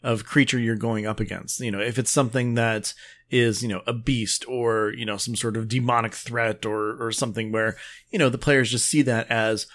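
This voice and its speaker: A man co-hosting a casual podcast, easygoing and agreeable, often pausing and restarting his sentences. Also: medium pitch, steady tone, a bit bright. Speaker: podcast co-host.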